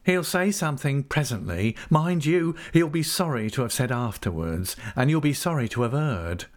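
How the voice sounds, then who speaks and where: A man's voice: mid-range voice, sing-song, normal speed, voice pushed up, natural voice. A man voicing a sulky, falsely humble schemer in a story, whining and insinuating with veiled threat. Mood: warning, insinuating.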